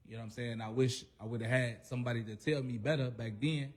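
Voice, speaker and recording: Guy on a radio interview, talks earnest and thoughtful, mid-pitched everyday voice. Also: fairly even intonation, quick pace. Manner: earnest, reflective, full of "you know what I'm saying". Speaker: guest rapper. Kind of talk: casual interview chat.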